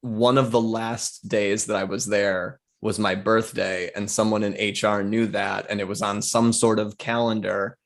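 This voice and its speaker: A man's voice: lowish voice, little pitch movement, a little slow, gravelly, few pauses. A man who works as a TV writer, a guest on an interview show, telling a self-deprecating story with laughs. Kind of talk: casual interview chat.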